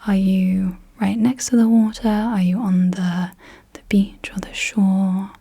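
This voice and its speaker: A young woman whispering softly and unvoiced as an ASMR host, gently asking slow questions in a guided visualisation, close to the mic. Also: medium pitch, fairly even intonation, gravelly, breathy edge. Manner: soft unvoiced whisper throughout. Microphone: close sensitive mic.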